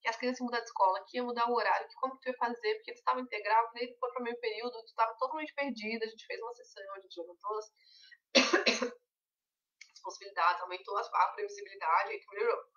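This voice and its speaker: A woman guides a group through a mentoring session, her voice bright and fairly high. She explains patiently with everyday examples. The audio sounds distant and muffled, like an online call. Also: steady tone, quick pace. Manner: conversational, reassuring, explains with examples. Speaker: weight-loss mentor.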